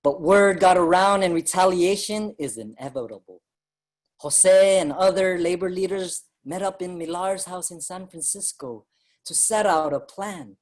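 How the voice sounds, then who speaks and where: An actor playing an earnest Filipino migrant writer and activist, narrating dramatically in a staged reading. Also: male voice, sits high, unhurried. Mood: reflective, grave.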